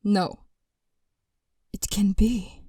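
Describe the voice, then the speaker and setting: A woman's voice: mid-range voice, steady tone, very slow, mellow, husky, no echo, studio quality, full character voice. A woman playing the stern, guarded apprentice of the lord of death in a fantasy audio drama, formal and curt.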